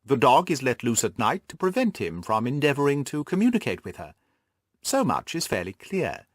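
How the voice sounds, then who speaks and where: A man voicing a shrewd, brisk detective who explains his deductions emphatically and gives orders in an audiobook. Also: mid-range voice, huge pitch swings, no echo, studio quality. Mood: analytical, assured. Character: shrewd male detective, brisk, commanding, analytical. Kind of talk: audiobook character dialogue.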